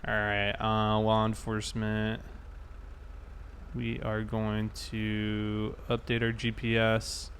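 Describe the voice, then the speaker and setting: A man's voice: on the low side, monotone, slow pace, a bit bright, slight background noise. A man hosting a casual game livestream, thinking aloud and talking informally to his viewers. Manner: informal, thinking aloud while reading chat. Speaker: live streamer, host.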